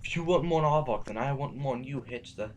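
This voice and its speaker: A man's voice: mid-range voice, fairly quick, darkish tone, soft background music, close to an everyday voice. A man playing an anguished, bitterly philosophical reluctant rebel in a science fiction audio drama, with a theatrical, wordy delivery. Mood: bitter accusation. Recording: faintly noisy amateur recording.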